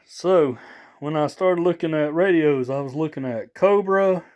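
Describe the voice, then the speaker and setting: A man casually showing off gear for a vlog, rambling and halting as he repeats himself. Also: on the high side, darkish tone, dull audio. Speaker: vlogger showing radio gear. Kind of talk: casual product showcase.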